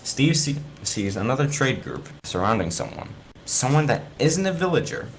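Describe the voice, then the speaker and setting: A man's voice: medium pitch, sing-song, moderate pace, gravelly, slight background noise, rough recording. A man reading a fan fiction story aloud informally, stumbling over words and correcting himself. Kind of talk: informal read-aloud narration among friends.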